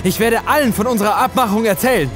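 Man acting a desperate, agitated higher-pitched victim. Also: fast, music in the background, lightly acted. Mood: defiant. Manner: agitated, defiant, raised voice. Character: desperate, furious man in his thirties, a broken victim. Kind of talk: horror story reading.

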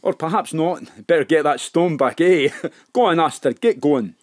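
This is a man playing a small-time crook in an audio drama, chatty and cheeky. He talks in an offhand, rascally way with lively swings in pitch, recorded close and dry. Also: moderate pace, dry recording, natural voice.

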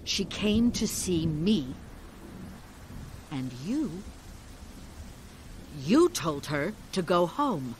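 An actress playing a driven, stern middle-aged village healer in an audio drama, insistent and commanding. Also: female voice, lowish voice, big pitch swings, very slow, pauses often, slight background noise, heavily acted. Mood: cold accusation.

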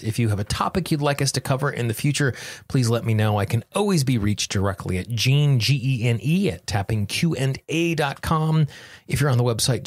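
A man's voice: mid-range voice, no echo, clean studio sound. A man hosting a self-help podcast, speaking in an earnest, encouraging manner. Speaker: podcast host. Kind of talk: guided self-help tapping affirmations and podcast talk.

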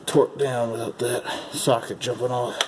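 A man's voice: mid-range voice, fairly even intonation, moderate pace, background noise. A man talking casually through a hands-on repair job as he works, in an unscripted, folksy how-to style. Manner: casual, folksy instruction, calling parts "her". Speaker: amateur mechanic giving a repair demonstration. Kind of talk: unscripted how-to narration while working. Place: at a car's open engine bay.

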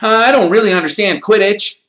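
A man voicing an excitable young schoolboy fan who gushes out breathless questions. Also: very high voice, pitched above the performer's own voice. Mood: eager. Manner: breathless, gushing, rapid questions. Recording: roomy echo.

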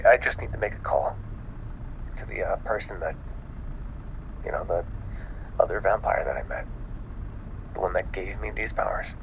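A man playing a panicked, exhausted newly turned vampire in an ASMR audio roleplay, stammering and often dropping into a breathy whisper. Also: low voice, slight background noise, rough recording. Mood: frustrated, uneasy. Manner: halting, stammering, breathy sighs and frequent swearing.

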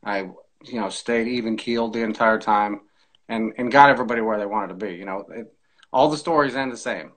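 A man in his forties speaking as an interview guest in a measured, explanatory way, giving practical advice on a video call. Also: on the low side, fairly bright tone, rough recording. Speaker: air traffic controller, podcast guest. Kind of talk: conversational interview, explaining tips. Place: small indoor room, video call.

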